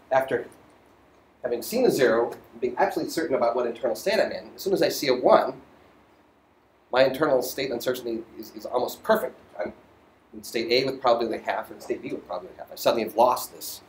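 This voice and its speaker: A man giving an informal university physics lecture, halting as he thinks aloud. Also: medium pitch, lively intonation. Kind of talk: lecture voiceover on slides, informal explaining. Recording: roomy echo, faint background noise.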